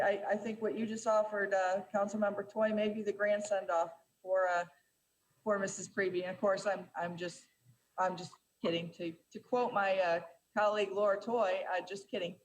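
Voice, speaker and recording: A woman chairs a city council meeting, calling on members and reading items in a measured way. She often pauses on fillers and restarts her phrases. A faint steady hum sits under her voice. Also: medium pitch, rough recording.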